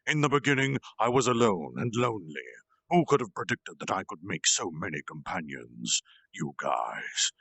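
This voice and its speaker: A man acts out an earnest slime leader, once human, in a low voice with wide swings of pitch. The delivery is solemn and halting, like a confession from a fantasy storybook. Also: very animated, vocal fry, fairly bright tone, no room sound, exaggerated voice. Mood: solemn, remorseful.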